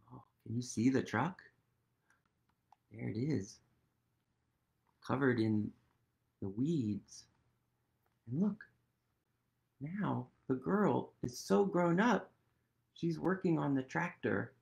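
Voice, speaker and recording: Expressive, slow-paced man reading to children. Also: mid-range voice, vocal fry. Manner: gentle, expressive, pausing, questioning. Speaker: storytime reader. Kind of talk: picture-book read-aloud with questions to young children.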